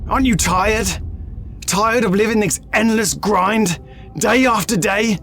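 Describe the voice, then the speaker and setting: Man playing a high-voiced, defiant veteran soldier. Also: measured pace, vocal fry, a bit bright, soft background music, heavily acted. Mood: bitter, insistent. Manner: insistent, pointed rhetorical questioning. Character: defiant, cynical veteran soldier, male, persuasive deserter.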